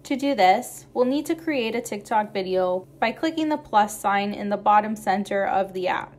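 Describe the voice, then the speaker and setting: A woman's voice: mid-range voice, animated, moderate pace. A woman reading scripted how-to narration as a tutorial narrator.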